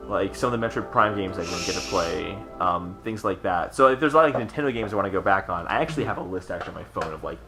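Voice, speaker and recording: A man's voice: deep voice, rapid-fire, hardly any pauses, over music. A man chatting casually as a podcast co-host about video games.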